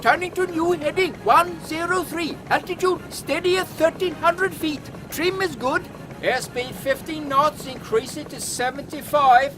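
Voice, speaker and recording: A man playing a gruff, no-nonsense helmsman in a steampunk audio comedy, talking in clipped, businesslike phrases. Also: extremely high pitch, unhurried. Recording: loud airship engine noise behind.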